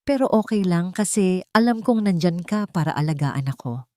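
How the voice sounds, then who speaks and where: A sweet, trusting little schoolgirl, voiced by a woman storyteller, in a family drama. She talks with earnest, childlike politeness in a mellow voice, recorded dry. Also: on the low side, expressive, normal speed, no room sound, natural voice.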